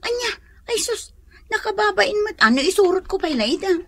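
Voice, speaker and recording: An actress playing a scolding, long-suffering village wife with an Ilocano accent in a radio drama. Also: female voice, extremely high pitch, very animated, normal speed, no echo.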